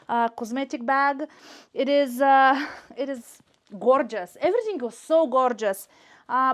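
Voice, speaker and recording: A woman with a Bulgarian accent, native in Bulgarian, chatting casually to camera as a shopping vlogger, gushing and repeating words for emphasis. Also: high voice. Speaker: YouTube shopping and unboxing vlogger.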